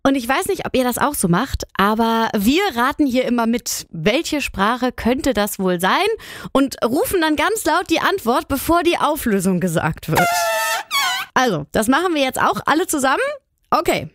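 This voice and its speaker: A woman's voice: high-pitched, big pitch swings, normal speed, a little hiss or hum. A woman hosting a children's radio show from a studio, talking enthusiastically and directly to young listeners. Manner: enthusiastic, speaks directly to kids.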